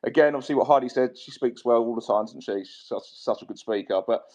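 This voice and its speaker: A man's voice: medium pitch, fast, darkish tone. A man hosting a casual online fan chat, talking with many fillers and restarts. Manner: hesitant, many fillers and repeats. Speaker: host. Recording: dull lo-fi sound.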